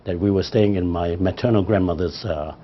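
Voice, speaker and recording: Older Hong Kong man, very low voice. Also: highs cut off, a little hiss or hum. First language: Cantonese. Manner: measured and formal, frequent hesitations. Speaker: interviewee, librarian. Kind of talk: oral history interview.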